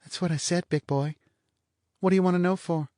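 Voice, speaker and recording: A man's voice: high voice, steady tone, brisk, dark tone, no room sound, studio quality, pitched above the performer's own voice, close to an everyday voice. A man voicing a languid mocking blonde. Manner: lazy, sardonic drawl.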